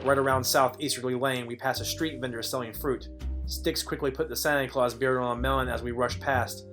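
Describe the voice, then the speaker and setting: A man playing a wry, world-weary private detective, narrating a film noir parody in a deadpan manner. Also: mid-range voice, a little fast, music in the background, lightly acted.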